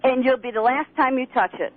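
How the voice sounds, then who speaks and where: A woman's voice: sits high, a little fast, no room sound, phone-quality sound. A woman calling in to a radio show, hurling blunt, repetitive accusations. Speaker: caller. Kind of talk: call-in radio argument.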